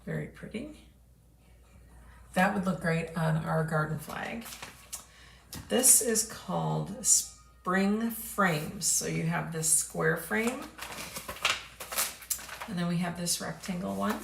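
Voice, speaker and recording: Female host, hoarse from losing her voice, slow relaxed product walkthrough, roomy noisy recording. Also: on the low side. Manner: chatty, unhurried, clears throat often. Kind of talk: casual product showcase livestream. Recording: echoey room with background noise.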